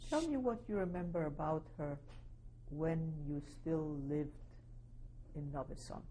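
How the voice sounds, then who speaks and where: Measured, polite female interviewer. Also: sits low, lively intonation, mellow, a little hiss or hum, low-quality recording. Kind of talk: formal interview questions.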